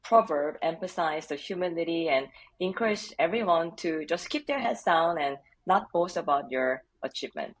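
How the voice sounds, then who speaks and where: A woman from Korea with a Korean accent, a guest answering interview questions in an earnest, measured way with light laughs. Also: low-pitched, expressive. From South Korea. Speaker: HR leader, podcast guest.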